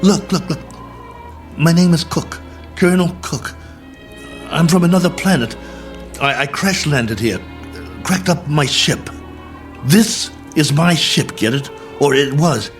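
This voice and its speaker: An actor playing a worn-out, injured and lonely crash-landed space colonel in a radio drama, talking in halting, emphatic bursts. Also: male voice, on the high side. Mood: pleading. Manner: halting, repetitive, emphatic pleading and musing.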